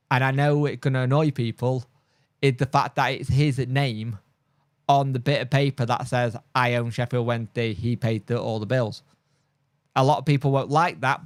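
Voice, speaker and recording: A man's voice: mid-range voice, dry recording. A man with a mild speech impediment, tired, hosting a casual live stream and chatting bluntly through viewer comments with lots of fillers and restarts. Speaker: football fan channel host.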